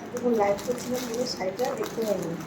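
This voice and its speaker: Higher-voiced woman in a roomy, noisy recording. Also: quick pace. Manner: chatty, repeatedly asking viewers for their opinion. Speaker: vlogger. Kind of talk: casual shopping vlog chat to viewers. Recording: roomy, distant mic, clear background noise.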